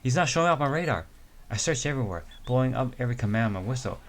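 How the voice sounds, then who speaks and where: A man reading the lines of a young woman survivalist in an amateur comic reading, with a plain, low-key delivery. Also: lively intonation, very fast, lightly acted.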